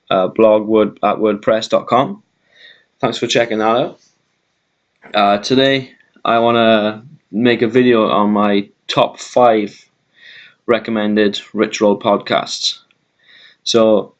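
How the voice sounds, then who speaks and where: A man with a Welsh accent talking casually to his YouTube viewers, rambling with lots of ums and uhs and slowing himself down. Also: lowish voice, highs cut off. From Wales. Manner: rambling, many ums and uhs, deliberately slowed down. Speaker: vegan YouTuber. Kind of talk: casual talk to camera.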